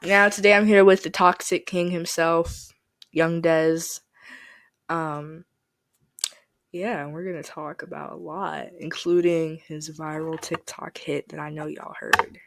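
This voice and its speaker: A woman's voice: low voice, flat delivery, a little slow, a bit bright, dry recording. A woman hosting a podcast interview in a casual, chatty way, laughing often. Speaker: podcast host.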